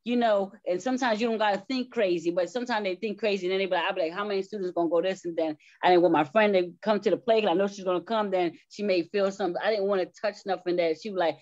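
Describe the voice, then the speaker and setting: A woman's voice: medium pitch, fairly even intonation, rapid-fire, few pauses, darkish tone. A woman who is a stage play cast member, talking casually in an interview and repeating her points for emphasis. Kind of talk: casual conversational talk. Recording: dull, lo-fi sound.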